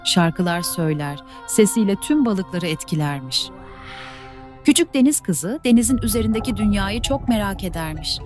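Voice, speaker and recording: A woman narrating fairy tales for children in a soft, measured storytelling voice. Her pitch sits at a middle level for a woman. Faint music plays underneath her. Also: animated. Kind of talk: scripted fairy-tale narration.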